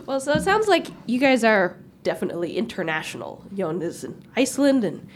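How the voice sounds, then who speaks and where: A woman's voice: a little high, very animated, a little fast, a little hiss or hum. A woman interviewing a startup team, asking questions in an inquisitive way with rising intonation. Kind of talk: casual interview.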